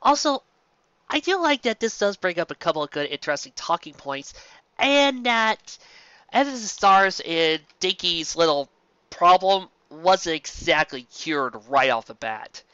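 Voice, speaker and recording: Animated, bright-voiced man hosting story readings. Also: high-pitched, clear, resonant tone, no room sound, lo-fi sound. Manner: halting, stumbling, enthusiastic. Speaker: host and reader of a fan fiction series. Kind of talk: casual commentary and story narration.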